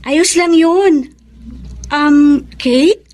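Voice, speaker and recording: An actress playing a chummy, scheming rich young college student in a Filipino radio drama, with a Filipino accent. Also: female voice, high voice, drawn-out words, darkish tone. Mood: chummy, coaxing.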